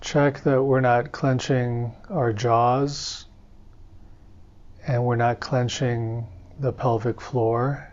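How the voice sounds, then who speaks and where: Calm, even-toned man guiding meditation. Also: mid-range voice. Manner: calm, gentle, unhurried. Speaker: meditation teacher. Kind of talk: guided meditation instruction. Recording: dull, muffled lo-fi audio.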